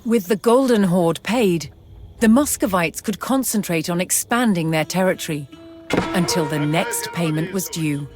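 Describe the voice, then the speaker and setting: Woman doing measured history narration for a strategy game, documentary style, music going behind her. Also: lowish voice, a little mellow. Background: background music.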